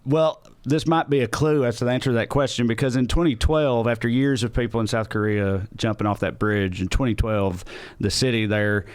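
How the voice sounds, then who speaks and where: A man's voice: medium pitch, brisk, clear, resonant tone. Casual Southern US man, podcast host. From Tennessee, United States. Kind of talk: casual comedic podcast chat.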